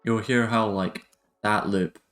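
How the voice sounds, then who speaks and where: A man's voice: fairly low pitch, fairly even intonation, slow pace, fairly bright tone. A man narrating a music production tutorial in a casual, hesitant, improvised way.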